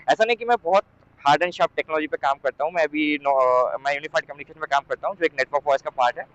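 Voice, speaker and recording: A man's voice: fairly high pitch, highs cut off. A young man with an Indian accent talks casually in a rambling riding vlog, mixing in English phrases, recorded on a helmet mic outdoors. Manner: chatty, rambling, mixes in English words and phrases. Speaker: motorcycle vlogger. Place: outdoors on a motorcycle on the road.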